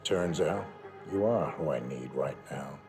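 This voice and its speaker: An actor playing a stoic, grim assassin in a video game, with terse, controlled, deadpan delivery. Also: male voice, very deep voice, unhurried, over music. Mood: cool, determined. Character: stoic, grim professional assassin, grown man. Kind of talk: cinematic video game acting.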